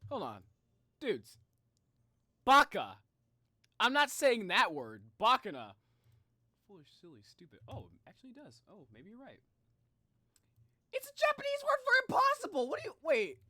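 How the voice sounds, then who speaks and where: A bright-voiced streamer reacting casually to game lore. The delivery is excitable and rambling, swinging widely in pitch, in a close, dry recording. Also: moderate pace, long pauses, dry recording.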